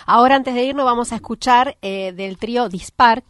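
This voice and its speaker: A woman with a Buenos Aires area Argentine accent, hosting an informal interview in a chatty, somewhat halting way. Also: fairly high pitch, a bit bright, no room sound, studio quality. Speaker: interview host.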